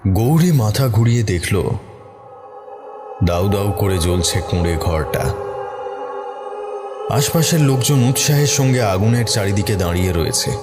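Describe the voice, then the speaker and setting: A man's voice: fairly low pitch, animated, measured pace, pauses often. A man narrating a scripted horror story in a dramatic style. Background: music behind the voice.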